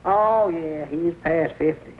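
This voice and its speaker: A mild country man with a high voice and a homespun Southern drawl. He sounds like old film comedy, tinny with a faint hiss behind him. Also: slow. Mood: mild, folksy. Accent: rural Southern US. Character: mild, folksy country storekeeper fellow. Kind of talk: 1940s comedy film acting.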